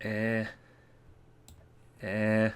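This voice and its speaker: A man's voice: lowish voice, monotone, extremely slow, smooth. A man hosting a video with casual, rambling, offhand gaming commentary. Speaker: video host.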